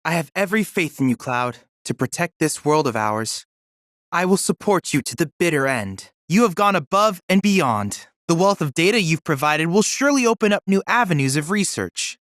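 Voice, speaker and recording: Boyish game android helper, super polite n formal, very expressive pitch, dry studio sound. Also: male voice, no echo. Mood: grateful, earnest. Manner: formal, precise, bookish, courteous diction. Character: polite, earnest boyish android research assistant. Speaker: game character, research guide. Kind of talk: scripted video game voice acting.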